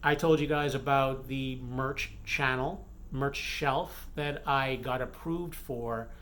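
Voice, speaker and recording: A man's voice: mid-range voice, steady tone, slow pace, fairly bright tone. Rambling man giving a casual vlog update. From the Bahamas. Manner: rambling, frequent fillers and self-corrections. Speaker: romance author and vlogger.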